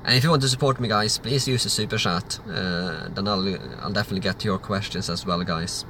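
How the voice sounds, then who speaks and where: Lowish, bright-toned man. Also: a little fast, a little hiss or hum. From Sweden. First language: Swedish. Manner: loose, rambling and offhand. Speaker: livestream host. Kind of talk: livestream Q&A reading chat questions.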